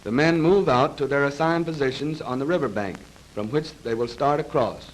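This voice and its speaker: A male narrator delivers scripted military instruction in the style of a 1930s training film. His pitch sits in the middle range for a man. He speaks formally and precisely, with a measured delivery, as heard on a vintage film soundtrack. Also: a little hiss or hum, rough recording. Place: voice-over recorded for a film.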